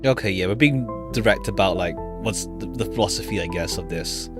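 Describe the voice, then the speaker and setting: Very low-voiced woman streaming a game. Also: brisk. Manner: loose, thinking aloud. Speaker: streamer playing and commenting on a game. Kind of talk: casual live gameplay commentary. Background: jazzy game background music.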